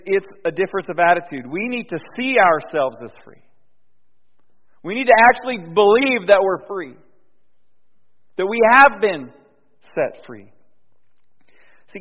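A man preaching a sermon in a conversational way, dropping soft and low and then rising loud and emphatic. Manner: conversational, with restarts and fillers. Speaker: pastor. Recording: dull sound with long hall reverb.

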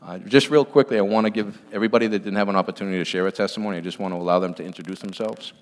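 A man's voice: fairly low pitch, steady tone, very fast. A man speaking earnestly at a church, giving an informal testimony with emphatic repetition.

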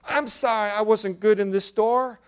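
A man's voice: high voice, steady tone, moderate pace, smooth, pitched above the performer's own voice, lightly acted. A man voicing a poor, eager little girl who talks in a childlike, pleading way with rural grammar. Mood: apologetic.

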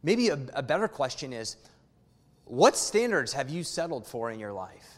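A man's voice: mid-range voice, very animated, moderate pace, big-room sound. A man preaching a sermon to his congregation, emphatic and conversational, repeating key phrases and turning to the crowd with asides. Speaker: pastor. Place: church sanctuary.

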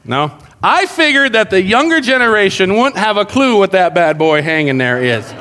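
A man's voice: high voice, very animated, moderate pace, sharp tone, long reverb tail. A pastor speaking to his congregation in a casual, joking sermon style. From South America. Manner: jokey, conversational, addresses congregation directly. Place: church sanctuary.

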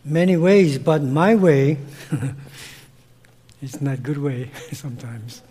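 Slow-talking Korean-accented man. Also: fairly high pitch, husky, long reverb tail. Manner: broken English, slow and earnest, gets loud when animated. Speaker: missionary guest. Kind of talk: interview answers, personal stories.

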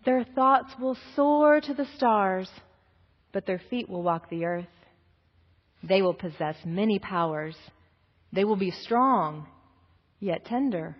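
A woman voicing a wise, gentle, ancient female turtle in a storybook, solemn and measured. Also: medium pitch, breathy edge. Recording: muffled, echoey large hall.

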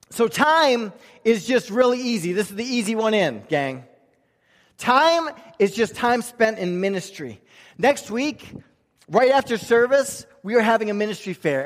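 A male pastor preaching a church sermon in an emphatic, conversational way, with rhetorical questions and repeated phrases. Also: high-pitched. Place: large room, preaching to a congregation. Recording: reverberant hall sound.

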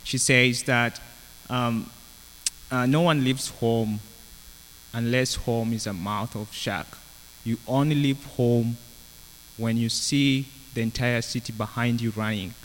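A young man with a Kenyan accent giving a personal testimony in a halting, reflective way. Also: mid-range voice, steady tone, fairly bright tone. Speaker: university student sharing a testimony. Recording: reverberant hall with humming noise.

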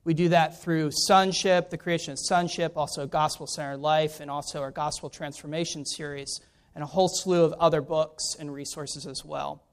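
A middle-aged American man speaks as a visiting preacher. His voice sits high for a man and rings clean and smooth. He talks in an informal, conversational way with a self-deprecating streak. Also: fairly even intonation, moderate pace. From Maryland, United States. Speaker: guest preacher, pastor. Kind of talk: informal spoken introduction to a sermon.

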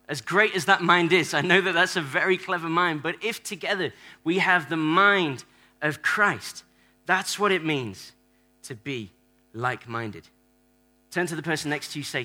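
A man's voice: a little high, sharp tone. A man preaching a playful sermon in a church, acting out different church members and joking with the congregation. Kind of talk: sermon with audience props. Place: church hall.

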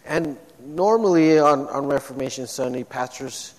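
Low-voiced man preaching in a hall. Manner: halting, many restarts and fillers. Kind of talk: sermon. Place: church sanctuary. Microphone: microphone. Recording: long church-hall reverb.

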